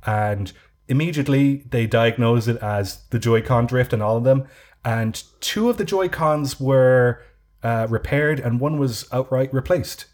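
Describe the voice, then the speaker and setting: A man chatting casually on a podcast, telling a drawn-out story with many restarts. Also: mid-range voice, darkish tone. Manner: rambling storyteller with frequent restarts. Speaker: podcast co-host. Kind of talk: casual chat.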